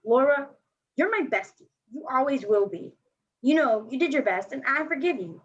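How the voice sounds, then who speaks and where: A woman plays a sullen, dismissive schoolgirl in a staged reading. The voice is fairly high and the delivery curt. The sound is dull and muffled. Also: animated, moderate pace. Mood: pointed, forgiving. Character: sullen, dismissive schoolgirl, loyal to one friend.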